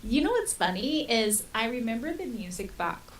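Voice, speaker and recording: A woman's voice: on the high side, sing-song, moderate pace. A woman co-hosting a podcast, chatting casually as a fan in an informal discussion. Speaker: podcast co-host. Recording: distant mic with faint hiss.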